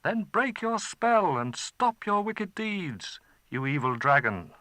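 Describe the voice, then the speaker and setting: Man putting on a young boy, brave and earnest, high raised voice, defiant. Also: sing-song, slow pace, sharp tone, no echo, heavily acted.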